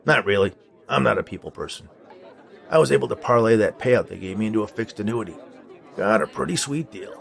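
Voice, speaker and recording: A man's voice: on the low side, lively intonation, a little fast, creaky, a faint crowd. A man voicing a grumpy, stubborn old man in a story, with curt, sardonic, dismissive delivery. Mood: dry, indifferent. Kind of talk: storybook character dialogue.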